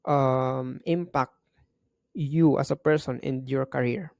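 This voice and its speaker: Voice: medium pitch, fairly even intonation, takes it slowly, vocal fry, darkish tone, dry recording, studio quality. A podcast host from the Philippines, not a native English speaker, interviewing a guest in a hesitant way, with many restarts. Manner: hesitant, with restarts and filler words.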